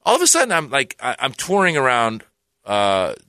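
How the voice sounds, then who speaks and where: Bright-voiced man telling an animated story. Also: mid-range voice, moderate pace, no echo. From Iran. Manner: animated, rambling, with restarts and acted-out quotes. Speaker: comedian guest. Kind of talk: casual storytelling chat.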